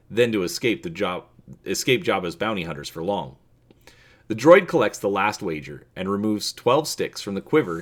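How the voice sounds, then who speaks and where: A man reading a story aloud as a narrator, in an expressive, theatrical manner. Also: fairly low pitch. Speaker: reader and channel host.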